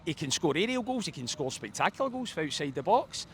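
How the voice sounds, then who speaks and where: A man's voice: a little high, big pitch swings, fast talker, vocal fry. A man giving effusive, opinionated post-match football reaction as a fan pundit, speaking in wordy stretches. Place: outdoors outside a football stadium. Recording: outdoor street noise behind.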